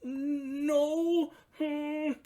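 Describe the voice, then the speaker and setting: A woman voicing an excitable, fretful young male puppet in a children's storybook, exclamatory and earnest. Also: sits high, drawn-out words, voice pushed up. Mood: worried, earnest.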